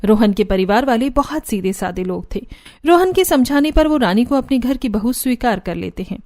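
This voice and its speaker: High-pitched woman narrating a scripted story. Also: lively intonation, moderate pace, darkish tone.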